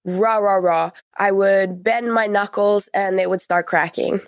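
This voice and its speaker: A woman's voice: mid-range voice, fairly even intonation, a little slow, dry recording. A teenage young woman answers interview questions in a candid, conversational way. She leans on repeated words for emphasis. The sound is close and dry, with little room. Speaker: podcast guest.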